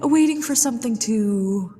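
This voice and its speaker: A woman's voice: fairly high pitch, expressive, warm tone. A woman playing a haughty, playfully menacing ghost lady of a haunted manor in a theatrical, teasing ASMR roleplay. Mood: coy, intrigued. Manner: theatrical, teasing, imperious, with drawn-out phrasing. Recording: long hall reverb with music behind.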